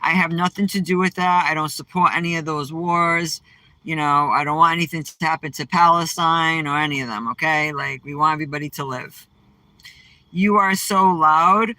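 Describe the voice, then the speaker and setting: A woman with a strong, low-set voice, chatting on a livestream in a blunt, sassy way and talking back to her chat. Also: fairly even intonation, moderate pace, pushed and bright, dry recording, rough recording.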